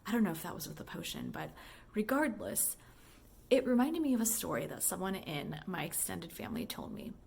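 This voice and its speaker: A woman's voice: mid-range voice, very animated, a little fast, vocal fry, a little mellow, husky. A woman talking in a casual, chatty monologue like a voicemail, musing and conversational with lots of filler words such as "like". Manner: musing, conversational, heavy use of "like" and "you know". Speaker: high school English teacher and book fan.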